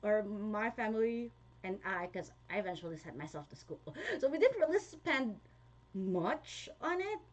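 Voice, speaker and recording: Woman, Filipino accent, young adult, casual chatty vlog, frequent laughs, middle pitch. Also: sing-song, normal speed. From Philippines. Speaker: vlogger. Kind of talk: casual talk to viewers, reading comments.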